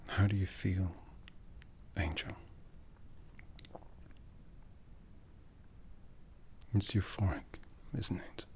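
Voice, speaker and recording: A man playing an aloof, commanding aristocratic vampire in an ASMR roleplay, half-whispering slowly and deliberately with a breathy, seductive delivery. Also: sits low, darkish tone, a little hiss or hum. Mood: seductive, intense. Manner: slow, deliberate, measured.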